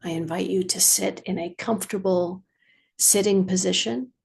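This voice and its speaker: A woman meditation teacher leading a guided practice in a measured, soothing way, with long pauses and a slightly husky voice. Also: mid-range voice, steady tone, a little mellow.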